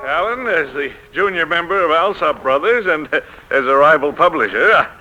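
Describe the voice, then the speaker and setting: An actor in a 1940s radio drama playing an envious junior publisher with a needling, jovial manner. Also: male voice, on the high side, lively intonation, moderate pace, music in the background, close to an everyday voice. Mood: jovially envious. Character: envious, chuckling junior male rival publisher. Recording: dull 1940s radio recording.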